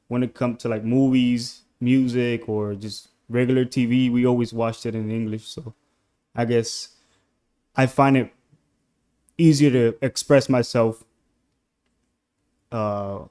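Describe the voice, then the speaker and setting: A young man from Puerto Rico with a Puerto Rican accent and Spanish as his first language, a musician speaking hesitantly and softly in a casual interview over a call, often trailing off mid-thought. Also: medium pitch. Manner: hesitant, soft-spoken, trailing off. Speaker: musician guest.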